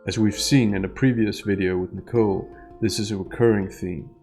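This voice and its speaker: A man delivering scripted analytical commentary in a measured, precise, explanatory way. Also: fairly low pitch, fairly even intonation, creaky, darkish tone, soft background music. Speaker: analyst and narrator of a statement analysis video.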